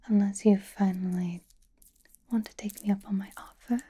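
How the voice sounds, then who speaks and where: A woman playing a seductive, possessive female vampire in an ASMR roleplay, teasing and doting in a soft, breathy whisper. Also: mid-range voice, steady tone, lightly acted. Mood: doting, chiding. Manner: teasing, doting, slow and deliberate.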